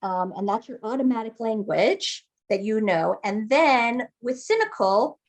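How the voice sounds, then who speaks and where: A woman's voice: on the high side, lively intonation, unhurried. A woman acting as game master, patiently walking a player through character creation and often checking that they follow. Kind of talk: explaining game rules.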